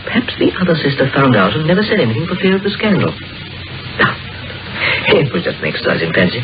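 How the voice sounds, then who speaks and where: An actor playing a curious male doctor with a macabre imagination, speaking in a measured, musing way in a 1940s radio drama. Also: mid-range voice, huge pitch swings. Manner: measured, musing storyteller's delivery. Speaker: Doctor McGregor, an amateur sleuth. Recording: dull old radio broadcast with music.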